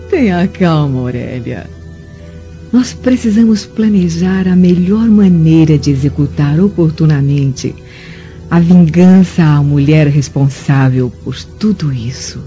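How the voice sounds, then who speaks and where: Dark, low, scheming Roman mother. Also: animated, slow. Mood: coldly scheming. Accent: Brazilian. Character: scheming, vengeful Roman mother, middle-aged. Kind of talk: Brazilian radio drama acting. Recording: muffled radio drama with music.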